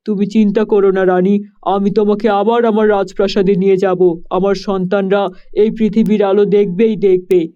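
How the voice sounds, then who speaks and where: This is a protective exiled king in a storybook cartoon, voiced by a man. He speaks steadily and reassuringly in a mellow voice that sits rather high, and the recording sounds dull and lo-fi. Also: level tone, moderate pace, close to an everyday voice.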